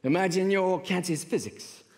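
A middle-aged man with a South African accent giving a stage talk, telling personal stories with pauses and repeated words. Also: high voice, a little mellow. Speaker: conference speaker, creative entrepreneur. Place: large indoor auditorium stage. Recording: reverberant auditorium hall.

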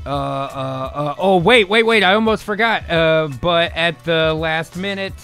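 A man in his thirties, excitable and sweary, hosting casually and reading scripts aloud as a dramatic narrator. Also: a little high, unhurried, clean tone. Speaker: host of an improv movie-writing show. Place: home room, video call. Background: music.

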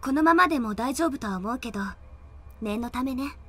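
High-voiced, confident woman doctor. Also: a little fast, heavily acted. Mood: confident, brisk. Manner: casual, assured, expressive. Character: confident, reassuring female doctor-scientist, brisk and friendly. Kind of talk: Japanese anime audio drama acting.

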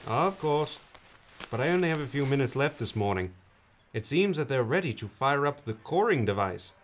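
Guy playing a slick rich businessman, pompous and smug, lively voice, bit muffled. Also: mid-range voice, normal speed, slight background noise, close to an everyday voice. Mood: breezy, offhand. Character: smug, slick, self-important male billionaire businessman pitching glacier water. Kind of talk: film dialogue, mock interview.

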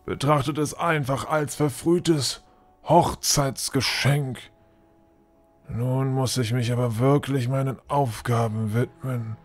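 A man playing a courteous, generous gentleman of authority in a fantasy audio drama, formal and measured. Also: medium pitch, fairly even intonation, faint music behind.

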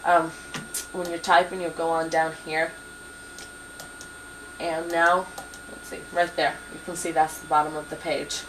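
A young woman patiently teaching word processing at a desk computer, with many pauses and ums, recorded on a 1990s camcorder in a small home office. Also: low voice, flat delivery. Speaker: computer instructor. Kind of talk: hands-on software tutorial. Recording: 1990s camcorder with faint hiss.

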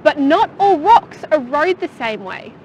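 Bright, high-voiced woman explaining geology. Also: unhurried, background noise. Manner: lively, enthusiastic, playful. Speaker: geologist presenter. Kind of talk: scripted science explainer. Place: rocky beach outdoors by the sea.

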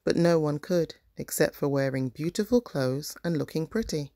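A woman's voice: low voice, moderate pace, dark tone, no room sound, clean studio sound. A woman reading a children's picture book aloud as scripted narration.

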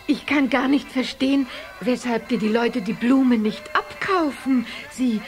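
A woman playing a kindly, playful young witch in a children's radio play, with a gentle wondering manner. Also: fairly high pitch, a little slow, soft background music, close to an everyday voice.

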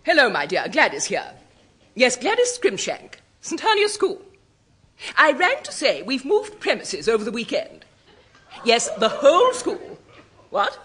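High, swooping English headmistress. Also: female voice, wildly swooping pitch, a bit bright, heavily acted. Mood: chatty. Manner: brisk, gushing, commanding. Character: brisk, jolly English girls' school headmistress. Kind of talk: 1960s radio comedy acting. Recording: very noisy old radio recording.

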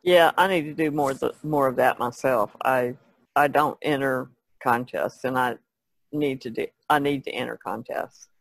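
A woman's voice: a bass voice, normal speed, vocal fry, no room sound, low-quality recording. A woman co-host talks casually in a conversational podcast style. The recording is dry, with very little room around her voice. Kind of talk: casual chat.

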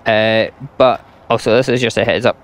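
A man's voice: fairly low pitch, fairly even intonation, normal speed. A young Scottish man giving blunt, sarcastic gameplay commentary and ranting about a farming game. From Scotland. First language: English. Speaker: gaming YouTuber.

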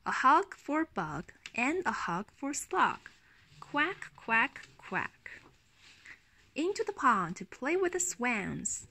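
Woman playing a slow, bright little storybook duckling. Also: high voice, big pitch swings, heavily acted. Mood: happy, playful. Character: cheerful little duckling, playful and childlike. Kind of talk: children's picture-book storytelling.

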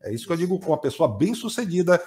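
Older host, 50s, slightly high and very animated, joking banter on a headset mic. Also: male voice, racing. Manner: teasing, laughing, reads viewer comments aloud. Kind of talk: casual live-stream chat. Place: home room with bookshelves.

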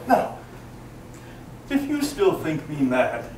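Gleeful mad murderer, wildly pitched. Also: male voice, huge pitch swings, darkish tone, recorded from a distance, full character voice. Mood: grim, intense. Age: older. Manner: melodramatic, emphatic, deliberate phrasing with sudden swells. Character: gleeful mad murderer, male, boastful, cunning, nervy. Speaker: actor giving a dramatic reading. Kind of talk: theatrical live recitation of gothic horror monologue. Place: small brick-walled cafe room, indoors. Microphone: camera a few metres away. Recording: echoey, noisy handheld camera from audience.

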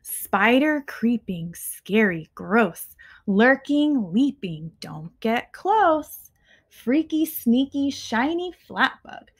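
A young adult woman reading a children's picture book aloud expressively, with deliberate, emphatic phrasing and pauses between short phrases. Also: on the high side, very animated. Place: small living room at home, indoors.

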